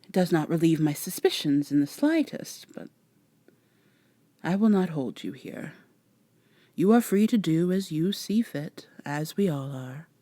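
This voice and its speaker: A woman as a slow, soft, haughty vampire lady. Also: low-pitched, very animated, dry recording, exaggerated voice. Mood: grave concern. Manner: formal, measured, condescending. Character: haughty, teasing female vampire, formal and worldly. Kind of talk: soft-spoken ASMR roleplay acting.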